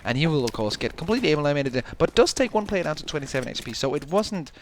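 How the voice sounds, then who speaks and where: A man commentating an esports match, with a fairly high voice. He gets excited and shoots up in pitch when the action peaks. A faint noise floor hums under the commentary. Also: fast. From Denmark. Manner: excitable, rises sharply in pitch at big plays.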